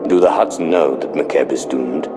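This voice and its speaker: Very deep, menacing dark lord. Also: male voice, moderate pace, music in the background. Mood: demanding, stern. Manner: imperious, confident. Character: menacing, arrogant, commanding male Sith dark lord. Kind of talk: video game cutscene acting.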